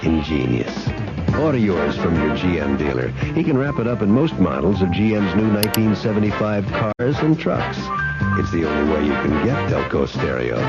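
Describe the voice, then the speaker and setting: A male announcer reads a laid-back 1970s radio ad. His lowish voice moves expressively over loud music, with dull broadcast sound. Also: big pitch swings, moderate pace. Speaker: commercial announcer.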